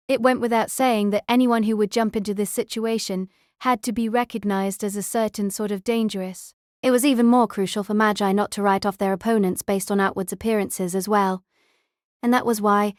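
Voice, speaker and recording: A woman's voice: medium pitch, dry recording, studio quality. A woman reading scripted audiobook narration of a story as its narrator.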